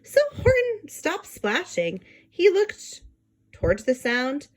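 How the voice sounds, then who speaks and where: A woman's voice: high-pitched, wildly swooping pitch, slow pace. A woman reading a children's book aloud, adding simple explanatory asides for young listeners. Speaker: read-aloud storyteller.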